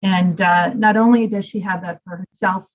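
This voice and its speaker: A woman hosting a conference, giving an informal spoken introduction in a halting, hesitant way, in dull low-fidelity audio. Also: fairly even intonation. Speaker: conference host introducing a speaker.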